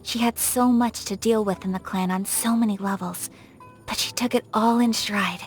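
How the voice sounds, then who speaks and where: A woman's voice: medium pitch, steady tone, normal speed, faint music behind, natural voice. A woman voicing a refined, reserved young noblewoman of a samurai clan in a video game, speaking formally and politely with poise. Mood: fond, admiring. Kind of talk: scripted game character voice lines.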